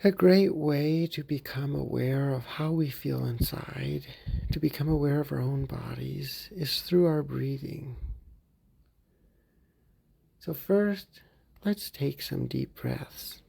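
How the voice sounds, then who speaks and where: A man leading a guided mindfulness meditation for a therapy group, speaking calmly and gently and sometimes dropping into soft whispers. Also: mid-range voice, creaky, slightly rough. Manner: calm, gentle, unhurried, slips into soft whispers.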